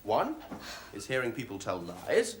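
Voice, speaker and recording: A man playing a pragmatic, gallant army captain in a stage comedy, speaking in a wry, gently teasing, matter-of-fact way. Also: on the low side, huge pitch swings, unhurried, slight background noise. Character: dry, pragmatic soldier captain, amused and gallant.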